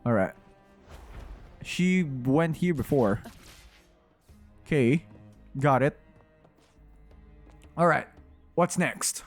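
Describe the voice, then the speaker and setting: A man with a fairly high voice comments casually on a game he is playing. He rambles and circles back over his points, thinking aloud. Music plays behind him. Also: expressive, drawn-out words, long pauses. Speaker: game streamer.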